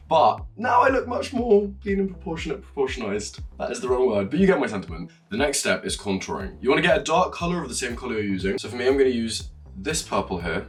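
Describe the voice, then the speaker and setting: A young man from Norway chatting casually through a makeup tutorial, animated and sometimes swearing with a laugh. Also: mid-range voice, recorded from a distance. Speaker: makeup vlogger.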